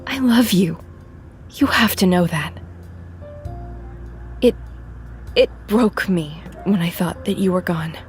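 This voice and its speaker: Actress playing responsible big sister official, earnest and firm, radio play, bit of music behind. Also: female voice, fairly low pitch, expressive, slow pace, long pauses. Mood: pleading. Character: stern, dutiful elder sister and town official. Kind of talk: audio drama acting.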